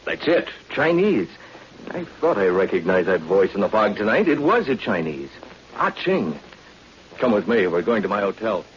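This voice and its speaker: An actor playing a self-assured, tough but polite undercover agent in 1940s radio drama style. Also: male voice, fairly low pitch, big pitch swings, normal speed. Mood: puzzled, urgent. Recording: muffled, hissy old-time radio recording.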